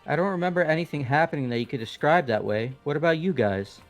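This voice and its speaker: A man's voice: on the high side, animated, quick pace, faint music behind. A man voicing a brash, casual, skeptical teenage boy with an offhand, argumentative manner, in amateur game voice acting. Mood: puzzled.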